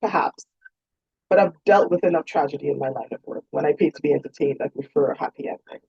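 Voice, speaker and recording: A gallant young gentleman investigator , read by a woman, courteous and wry. He is understated and husky in an audiobook romance, with a dull recorded sound. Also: deep voice, quick pace, dry recording, exaggerated voice.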